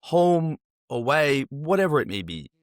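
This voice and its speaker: A man's voice: on the high side, very animated, unhurried, no room sound, clean studio sound. A man hosting a casual interview, earnest and rambling, often repeating his phrases as he builds a question. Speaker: interviewer, host.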